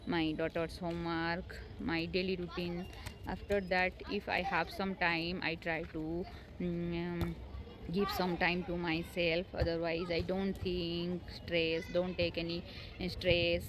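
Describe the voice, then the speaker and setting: A woman whose first language is not English gives unscripted learning tips as a homemaker, hesitant and encouraging, with many fillers and restarts. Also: lowish voice. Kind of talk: unscripted motivational talk to camera. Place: home.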